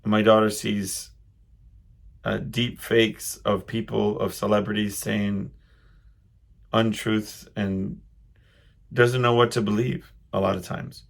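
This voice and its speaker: A middle-aged man hosting a solo podcast, reflecting casually and haltingly as he thinks aloud in a loud voice. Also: on the low side, level tone, vocal fry. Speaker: podcast host.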